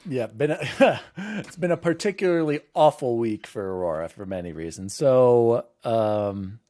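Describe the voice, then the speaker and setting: A man hosting a casual gaming podcast, chatting with excitable, jokey emphasis. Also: medium pitch, unhurried, no room sound, clean studio sound. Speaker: podcast host.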